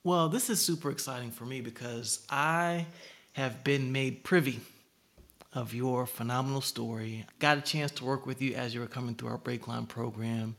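Enthusiastic guy hosting a podcast, average pitch, chatty and effusive. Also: steady tone, normal speed, a bit bright. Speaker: podcast host. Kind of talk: casual podcast interview.

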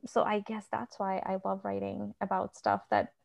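A woman from India, speaking, talks as a guest author in a relaxed interview. Her mid-pitched voice carries a gravelly fry, and she speaks softly and thoughtfully, letting sentences fade at the ends. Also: moderate pace. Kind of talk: casual interview chat.

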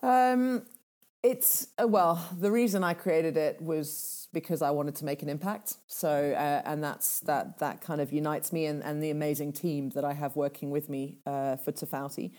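Low mellow-voiced woman from Kenya. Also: fairly even intonation, moderate pace. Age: 30s. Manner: thoughtful, many fillers and restarts. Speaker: guest, charity founder. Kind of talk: casual interview talk.

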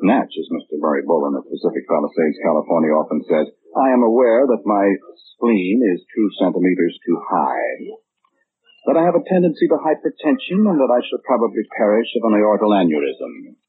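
Pompous low-voiced know-it-all, old radio. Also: male voice, big pitch swings, breathy edge. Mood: fussy, self-absorbed. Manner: pompous, deliberate, mannered phrasing with pointed pauses. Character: know-it-all man, fussy, precise, condescendingly polite. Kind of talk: 1940s radio acting, first-person monologue. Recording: muffled, narrow-band 1940s radio recording.

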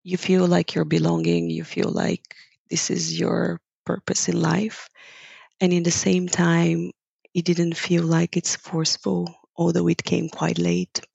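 Slow, gravelly woman. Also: lowish voice, completely flat delivery, darkish tone, dry recording. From Bulgaria. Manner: thoughtful, searching for words, laughs lightly. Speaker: guest, yoga teacher. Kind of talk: reflective interview conversation. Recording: dull, dry lo-fi recording.

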